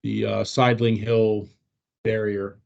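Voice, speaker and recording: A man leading a staff training on an online call, explaining informally in a halting, hedging way. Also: lowish voice, little pitch movement, vocal fry. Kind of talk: informal explanatory presentation on a work video call. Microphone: video call microphone. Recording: dull, lo-fi online meeting audio.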